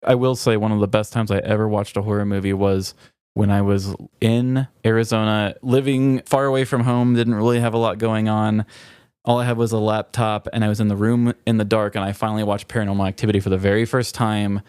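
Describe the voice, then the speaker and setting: A man's voice: lowish voice, flat delivery, a little fast, a little mellow, clear, resonant tone, no echo, studio quality. A man co-hosting a podcast, talking casually and explaining things in an easygoing, anecdotal way. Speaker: podcast co-host. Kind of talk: casual conversational chat.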